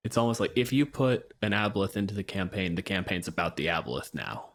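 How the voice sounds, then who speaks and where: A man's voice: sits low, lively intonation, quick pace, fairly bright tone. A man hosting a podcast, discussing games casually with emphatic phrasing. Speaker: podcast host.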